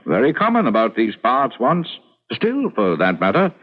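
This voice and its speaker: An actor playing a kindly, fussy old country friend in a radio drama, with folksy, hesitant, old-fashioned talk. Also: male voice, medium pitch, huge pitch swings, moderate pace. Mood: genial. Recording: muffled old radio recording.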